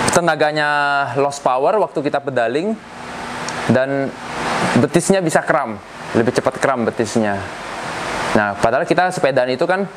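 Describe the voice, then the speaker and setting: A man giving a casual instructional demonstration as a coach, conversational and explanatory, repeating key phrases for emphasis. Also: medium pitch. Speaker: cycling coach giving tips. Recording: clear ambient hum and vehicle noise.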